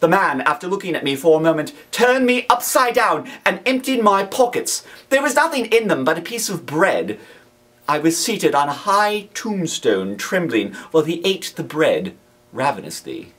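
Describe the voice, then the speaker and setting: A man giving a dramatic first-person reading of a classic novel, with theatrical, deliberate phrasing. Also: on the high side, sing-song, a bit bright, recorded from a distance. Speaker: reader.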